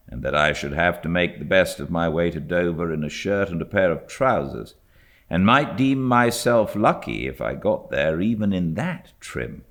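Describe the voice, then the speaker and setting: A man's voice: a bass voice, fairly bright tone. A British man with a British accent reading classic literature aloud as an audiobook narrator. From Britain.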